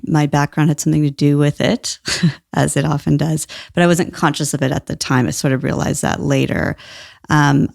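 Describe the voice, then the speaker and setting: Middle-aged female guest, heavy vocal fry, thoughtful and relaxed, close dry mic. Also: deep voice, no room sound. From Brazil. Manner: thoughtful, warm, laughs easily. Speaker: guest, employment lawyer. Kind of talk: casual interview talk.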